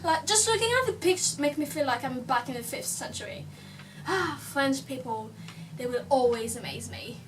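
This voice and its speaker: A woman with a high voice hosts a parody news segment, chatty and casual with plenty of fillers. She sounds a little far from the mic, with faint noise behind her. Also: animated, moderate pace. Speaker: news presenter.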